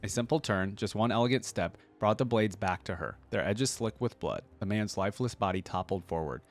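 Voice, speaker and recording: A man's voice: on the low side, fairly quick, soft background music. A man hosting a reaction video, reading lore aloud and commenting in an informal, exclamatory way. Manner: informal, filler-heavy, exclamatory asides.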